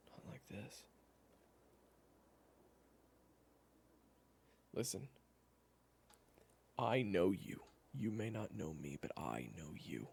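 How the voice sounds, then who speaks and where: A man playing a worried, exasperated friend in an audio roleplay, speaking haltingly and naturalistically. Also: low-pitched, creaky, a little hiss or hum, exaggerated voice. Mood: cautious, soothing. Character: worried, exasperated male friend of an amnesiac, gently explaining. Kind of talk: audio roleplay acting, one-sided dialogue.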